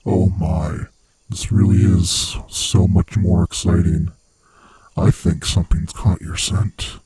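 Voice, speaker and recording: A man voicing a sinister, gloating monster who hunts human prey, with a husky, rasping voice and a slow, taunting manner, in a horror ASMR roleplay. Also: little pitch movement, mellow, dry recording, heavily acted. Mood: gleeful, taunting. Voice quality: husky, breathy, rasping low voice. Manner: slow, menacing, theatrically taunting.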